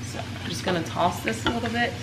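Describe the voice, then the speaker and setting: A woman's voice: fairly high pitch, fast, over loud music. A woman in her thirties chatting informally as she cooks for a home vlog, thinking aloud. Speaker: vlog host, home cook. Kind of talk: casual cooking vlog chat. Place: home kitchen, indoors. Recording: very noisy kitchen camera audio.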